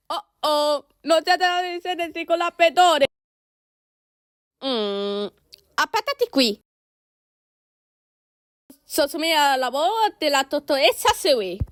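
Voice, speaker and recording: A woman's voice: high voice, a bit bright, no room sound, studio quality. A woman doing an amateur cartoon fan dub, acting out several characters in an exaggerated, exclamatory way.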